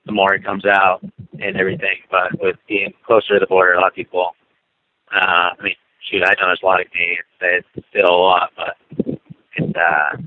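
A man from the United States, a motocross racer, chatting casually over the phone in a relaxed, rambling way with lots of fillers. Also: deep voice, a little fast. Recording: tinny phone line.

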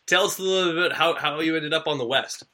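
Canadian man, podcast host, bright forceful voice, energetic, lots of uh and restarts. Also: on the high side, fast talker. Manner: energetic, hesitant, many uh fillers. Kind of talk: podcast interview.

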